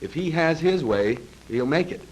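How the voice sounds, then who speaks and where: A man reads scripted narration for a 1930s military training film in a formal, measured, precise manner, on a vintage film soundtrack. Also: fairly high pitch, expressive, darkish tone, a little hiss or hum, low-quality recording. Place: voice-over recorded for a film.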